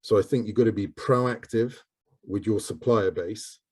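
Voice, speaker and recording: A man's voice: fairly low pitch, moderate pace, darkish tone. A man answering questions on a video call panel, hesitant and full of "um"s. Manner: hesitant, many ums.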